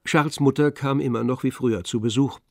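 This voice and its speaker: A man narrating a literary radio drama in a measured storytelling manner. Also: medium pitch, steady tone, gravelly, a little mellow, dry recording, clean studio sound, close to an everyday voice.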